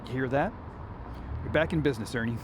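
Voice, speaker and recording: A man's voice: mid-range voice, fast, natural voice. An actor playing a restless, kindhearted young man in a radio drama, with a hesitant, folksy way of talking. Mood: kindly, concerned. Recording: faint background noise.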